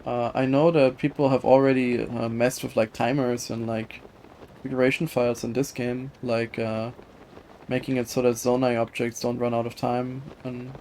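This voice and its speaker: Game streamer, mid-pitched voice, casual off-the-cuff commentary, rambling and hesitant. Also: little pitch movement, moderate pace, a little mellow, a little hiss or hum. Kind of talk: casual live gameplay commentary, chatty.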